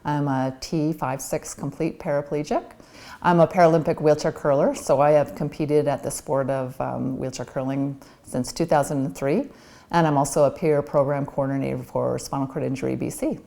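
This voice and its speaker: A woman's voice: sits low, fairly even intonation, moderate pace, vocal fry, warm tone. A woman in her forties speaking candidly and reflectively in a documentary interview, with frequent ums. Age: 40s.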